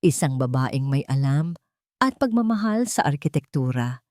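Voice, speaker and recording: A woman acts out a devoted young Filipino businessman in a romance audio story. The words come earnest and tender, as steady declarations of love. The sound is dry and close. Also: rumbling low, moderate pace, no room sound. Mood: proud, earnest.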